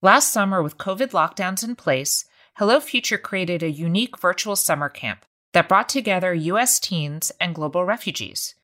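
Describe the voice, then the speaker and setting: Woman producer narrating, lowish voice, steady and measured. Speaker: executive producer presenting a segment. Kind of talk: scripted narration.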